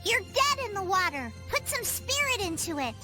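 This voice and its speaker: A woman's voice: very high-pitched, sing-song, moderate pace, over loud music. A woman voicing a spirited, bossy young girl in a video game, cocky and playful. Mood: bossy, encouraging.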